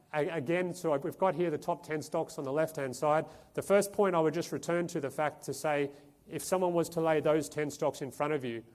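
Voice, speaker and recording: Halting man explaining a fund. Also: medium pitch. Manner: hesitant, with frequent restarts and repeated words. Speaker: fund manager. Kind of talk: conference presentation. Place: conference stage.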